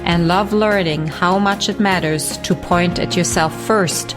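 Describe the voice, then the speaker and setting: Woman host reading her podcast intro, lowish voice, music playing under it. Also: steady tone, measured pace. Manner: smooth, read-out delivery. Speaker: podcast host, mindset coach. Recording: voice over music.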